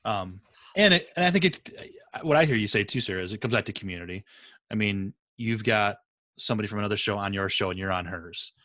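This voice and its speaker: Guy hosting a podcast interview, lowish voice, no room sound at all, just chatting. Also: quick pace, sharp tone, dry recording. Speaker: podcast host. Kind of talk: casual interview chat.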